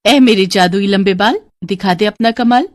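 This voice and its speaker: A woman's voice: mid-range voice, normal speed, no room sound, natural voice. A woman voicing a kind, earnest young heroine of a fairy tale in an eager, girlish manner. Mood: commanding.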